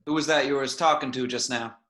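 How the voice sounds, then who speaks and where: A man playing a controlling, possessive middle-aged father in a home table read, speaking in a low, creeping, threatening way in an echoey room. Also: steady tone, fast talker, pushed and bright, close to an everyday voice. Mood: menacing. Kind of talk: amateur table read over video call.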